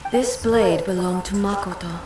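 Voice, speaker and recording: A woman voicing a solemn, regal warrior ruler in a fantasy video game, speaking in a formal, measured, stately way. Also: medium pitch, close to an everyday voice. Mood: calm, reflective. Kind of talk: scripted video game voice acting. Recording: roomy, distant game audio with loud noise.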